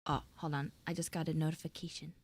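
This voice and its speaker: A woman's voice: sits low, flat delivery, fast talker, breathy edge, no room sound. A woman livestreamer talking casually and expressively to her viewers in informal, exclamatory storytelling. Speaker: VTuber livestream host. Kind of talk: live roleplay chat with viewers, casual storytelling.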